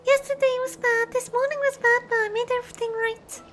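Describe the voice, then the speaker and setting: A woman's voice: very high voice, level tone, fairly quick, fairly bright tone, soft background music. A woman chatting casually on a livestream, gushing and repeating words for emphasis. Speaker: streamer.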